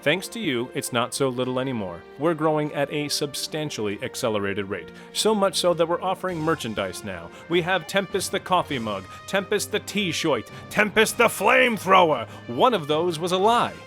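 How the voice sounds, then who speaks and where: A man's voice: a little high, big pitch swings, moderate pace, few pauses, a bit bright, faint music behind. A man reading a scripted podcast outro in a playfully dramatic way. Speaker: podcast announcer and player.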